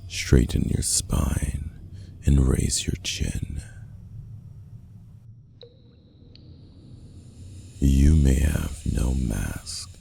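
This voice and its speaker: A man acts out a possessive, imperious lord of a hidden city for a fantasy ASMR roleplay. His voice is dark and heavily creaky, dropping into a half-whisper with archaic, measured formality. There is faint noise behind him. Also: a bass voice, lively intonation, full character voice. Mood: calm, commanding. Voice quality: gravelly, hushed, half-whispered. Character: stern, possessive masked ruler of an underground city.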